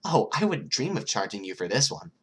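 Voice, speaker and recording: A calm young mastermind , played by a male reader, friendly yet calculating. He sounds supportive and businesslike, like an audiobook character talking shop. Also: fairly low pitch, a little fast, breathy edge, pitched above the performer's own voice, full character voice. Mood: warm, loyal.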